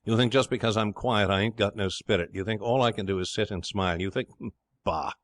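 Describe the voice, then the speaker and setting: Guy doing a big surly blunt working bloke for an audiobook, kinda low voice, really close dry sound. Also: a little fast, dry recording, close to an everyday voice. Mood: angry, defiant. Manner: blunt, forceful, ungrammatical. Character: gruff, belligerent big working-class man in love, blunt and scowling. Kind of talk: audiobook character acting.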